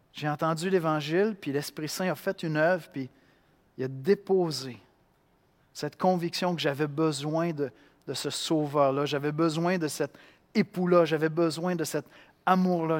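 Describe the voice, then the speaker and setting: A man from Quebec with a Québécois accent, preaching a sermon in an animated way, acting out voices and swinging between loud and hushed. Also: fairly high pitch, moderate pace, long reverb tail. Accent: Québécois French. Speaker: pastor.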